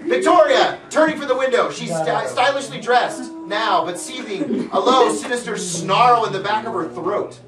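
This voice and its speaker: A woman's voice: fairly high pitch, expressive, moderate pace, hardly any pauses, music in the background. Theatrical woman narrator in an echoey room. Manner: theatrical, breaking off into playful asides. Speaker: narrator reading stage directions. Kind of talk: comic dramatic script reading. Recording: echoey room, far-off camera mic, crowd.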